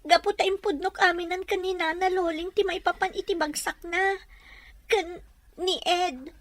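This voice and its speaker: A woman's voice: extremely high pitch, fairly even intonation, moderate pace. An actress playing a hurt, pleading young woman in a radio drama, earnest and plaintive. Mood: tearful, hurt. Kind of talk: radio serial drama acting.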